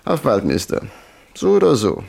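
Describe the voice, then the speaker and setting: A man's voice: medium pitch, wildly swooping pitch, normal speed, creaky, darkish tone, slightly rough, heavily acted. A man acting a menacing, mock-polite gangster in a German crime radio play, taunting as he threatens. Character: menacing, mocking gangster henchman leader threatening a shopkeeper.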